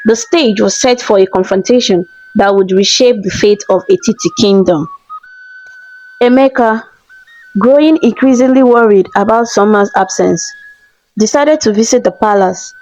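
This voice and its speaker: A woman's voice: medium pitch, normal speed, darkish tone, soft background music, rough recording. A woman narrator reading a scripted folktale in a storybook style.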